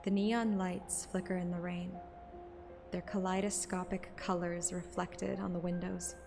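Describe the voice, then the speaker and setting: A woman narrating a scripted present-tense story in a measured, descriptive way. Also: fairly low pitch, little pitch movement, creaky. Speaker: audiobook narrator and streamer. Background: music.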